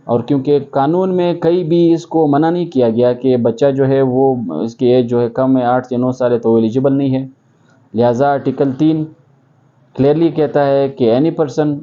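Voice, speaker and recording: Pakistani guy lecturing on law, really dark mellow voice, kinda muffled recording, says things twice. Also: medium pitch. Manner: repeats and rephrases points, with English legal terms mixed in. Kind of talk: explanatory legal lecture. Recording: dull, muffled lo-fi audio.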